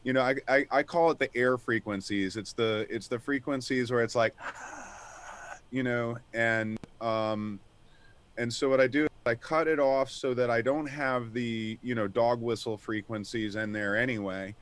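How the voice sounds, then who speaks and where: A man with a deep voice speaking as a guest on a casual panel, pausing and restarting as he searches for his words. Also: steady tone, fairly bright tone, smooth, no room sound.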